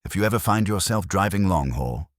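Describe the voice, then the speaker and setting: A man's voice: sits low, lively intonation, brisk, dry recording, clean studio sound. A man narrating scripted first-person trucker horror tales in a dramatic, suspenseful way.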